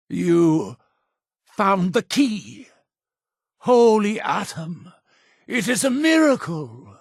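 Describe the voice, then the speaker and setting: An actor playing a zealous, imperious cult leader in a video game, with a gravelly, husky voice and solemn, grandiose delivery. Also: male voice, a little high, no room sound, studio quality, exaggerated voice. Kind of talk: theatrical video game dialogue.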